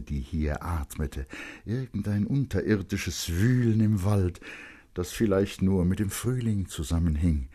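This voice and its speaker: Male narrator for radio literature: low, husky voice, dramatic and expressive scripted reading with hushed shading. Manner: expressive and dramatic, swelling in urgency and dropping to hushed tones. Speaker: narrator, audiobook reader.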